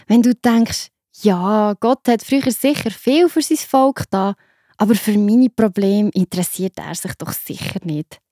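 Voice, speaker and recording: A woman's voice: mid-range voice, a little slow, mellow, no echo, clean studio sound. A woman with a Swiss German accent narrating a scripted children's Bible story, reassuring and speaking straight to the young listener.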